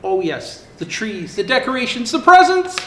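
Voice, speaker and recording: A man acts out an excited zoo animal from a picture book. The voice leaps up and down with eager, gushing friendliness, in an echoey room. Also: very high voice, normal speed, voice pushed up, heavily acted. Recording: roomy echo, faint background noise.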